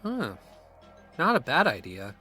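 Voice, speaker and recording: A man's voice: a little high, wildly swooping pitch, measured pace, bright, forceful tone, heavily acted. Man playing laid-back young male friend, dry and casual, swinging intonation, music behind. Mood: easygoing. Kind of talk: audio drama dialogue.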